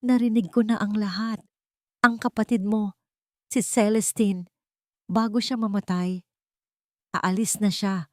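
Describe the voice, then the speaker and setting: A woman's voice: medium pitch, steady tone, a little slow, pauses often, no echo, studio quality, pitched above the performer's own voice, close to an everyday voice. A woman voicing an anguished, commanding billionaire man in a dramatic audio story, with intense and emphatic delivery. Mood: furious, betrayed.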